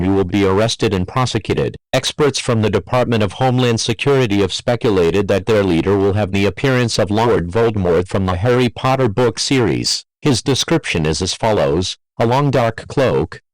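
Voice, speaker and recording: Low-pitched, formal male emergency alert announcer. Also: no echo, rough recording.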